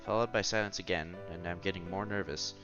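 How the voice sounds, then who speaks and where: A man's voice: deep voice, fairly quick, lo-fi sound, music in the background. A man reading visual novel narration aloud as a streamer, expressively, with casual asides and exclamations.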